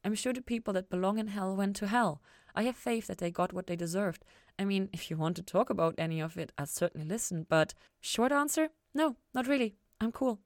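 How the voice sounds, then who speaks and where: A woman's voice: mid-range voice, fairly quick, no echo, studio quality, pitched above the performer's own voice, close to an everyday voice. A woman voicing a kind, curious young woman in an audiobook story, speaking casually and expressively with frank, teasing eagerness. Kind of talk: acted fan fiction audiobook dialogue.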